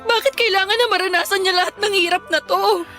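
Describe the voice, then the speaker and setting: A distraught young Filipino wife and new mother in a radio drama. Her voice is high-pitched and she speaks with pleading emotion. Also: fast, sharp tone, faint music behind. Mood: tearful, pleading.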